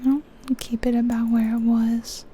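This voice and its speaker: A woman whispering softly in an ASMR style, musing aloud over a game in an unhurried way, close to the microphone. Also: on the high side, flat delivery. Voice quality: soft, breathy whisper. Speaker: ASMR video creator.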